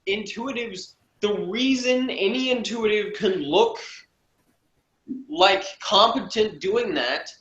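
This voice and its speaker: A man's voice: high-pitched, slow pace, fairly bright tone. A man chatting casually as a reviewer, giving blunt, informal opinions. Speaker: podcast reviewer. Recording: dull, roomy, distant mic.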